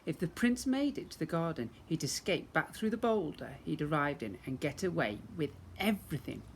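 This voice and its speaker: A man reading a children's storybook aloud as a teacher, in an expressive, dramatic storytelling manner. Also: on the high side, normal speed, slight background noise.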